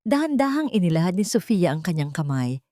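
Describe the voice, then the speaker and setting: A woman's voice: fairly low pitch, big pitch swings, brisk, warm tone, no echo, clean studio sound. A woman reading a scripted romantic story as its narrator.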